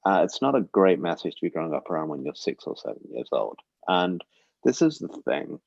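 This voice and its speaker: Deep, gravelly man speaking earnestly. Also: a little mellow, no echo, dull audio. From Britain. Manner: earnest, reflective, with frequent um and uh. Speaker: guest speaker. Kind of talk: personal testimony talk. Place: synagogue livestream.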